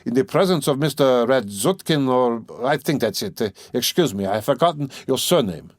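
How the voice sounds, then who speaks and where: A man's voice: medium pitch, lively intonation, normal speed, no room sound, clean studio sound, pitched above the performer's own voice, close to an everyday voice. A man doing a pompous touchy suitor. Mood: pompous huffy. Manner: huffy, sententious, stiffly formal. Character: pompous, touchy middle-aged male suitor.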